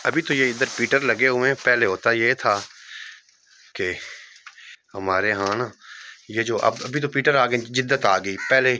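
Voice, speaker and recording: A man's voice: mid-range voice, unhurried, long pauses, a little hiss or hum. A man in his thirties with a Punjabi accent, vlogging from his village and interviewing a local on a phone held at arm's length. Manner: raises voice to address viewers, speaks softer while walking. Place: outdoors in a village, light rain. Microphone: phone held at arm's length, selfie style.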